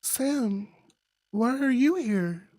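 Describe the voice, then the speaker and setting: A woman's voice: on the high side, expressive, very slow, dark tone, no room sound, voice pushed up, heavily acted. A woman voicing a polite, worried and dutiful daughter in a visual novel. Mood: surprised, polite.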